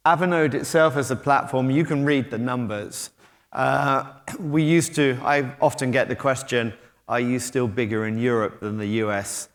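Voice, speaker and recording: A man's voice: medium pitch, steady tone, moderate pace. A middle-aged British man speaking at a conference, talking conversationally with frequent ums and restarts as he walks the stage. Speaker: conference speaker, technology company head. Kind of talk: conference keynote talk. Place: conference hall. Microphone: stage mic, moving about while talking. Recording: long hall reverb.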